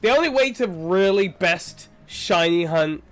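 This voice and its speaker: A man's voice: sits high, lively intonation, measured pace. A young man live-streaming a game from home, chatting casually and rambling while congested from a sinus infection. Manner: chatty, rambling, friendly with chat. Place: home room.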